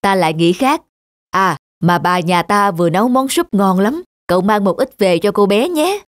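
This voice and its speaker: Kindly old professor. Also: male voice, high voice, expressive, moderate pace, dry recording, clean studio sound. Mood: knowing. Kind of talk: animated drama dubbing. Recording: music under the voice.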